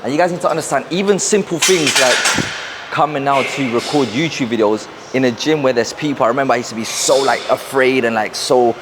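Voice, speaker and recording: A young man with a London accent, talking fast and rambling to camera in a casual motivational vlog, wearing a clip-on mic in a gym. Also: medium pitch, very noisy. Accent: London English. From South London, England. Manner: fast, rambling, repeats himself. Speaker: fitness and mindset coach. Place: busy gym.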